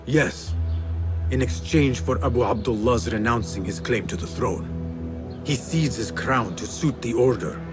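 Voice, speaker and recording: An actor playing a calm, resolute assassin in a video game, with a terse, measured and serious manner. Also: male voice, medium pitch, over music, natural voice. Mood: urgent, grave. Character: calm, resolute male assassin serving a master. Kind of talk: dramatic video game acting.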